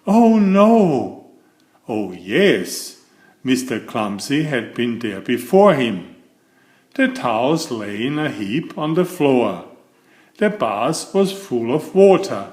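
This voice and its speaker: A man reading a children's storybook aloud in an expressive, measured way, also acting as the channel's host. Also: mid-range voice. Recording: echoey room.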